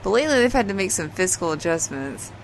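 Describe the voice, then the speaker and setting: A woman's voice: fairly low pitch, very animated, quick pace, slight background noise, poor audio. An actress playing a brash, sardonic woman in a casual, slangy comedy audio drama. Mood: dry, casual. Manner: sarcastic, casual, slangy, swearing. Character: brash, foul-mouthed, sardonic woman from another timeline.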